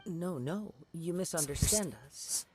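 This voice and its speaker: Man voicing a calm, polite young samurai. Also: on the high side, a little slow, crowd noise, close to an everyday voice. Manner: polite, composed. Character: calm young male wandering samurai investigator. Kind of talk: game voice acting.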